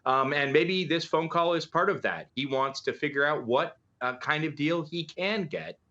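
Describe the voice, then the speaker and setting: A man's voice: a little high, moderate pace, sharp tone. A middle-aged man giving live news commentary as an analyst, conversational and hesitant, posing rhetorical questions to make his points. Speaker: political analyst and journalist guest. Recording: dull, lo-fi remote link.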